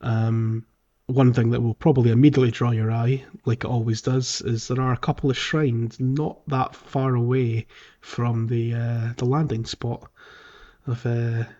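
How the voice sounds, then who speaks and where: A man co-hosting a podcast, casually discussing a video game in a halting, thinking-aloud way. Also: mid-range voice, flat delivery, warm tone. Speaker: podcast co-host. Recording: slightly dull, lo-fi podcast audio.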